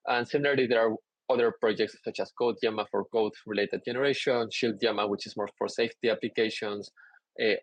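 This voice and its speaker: A young man from Peru giving a conference talk over slides on a video call, relaxed and explanatory with many "uh" fillers. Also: lowish voice, no room sound, low-quality recording. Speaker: conference speaker. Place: indoor room, on a video call.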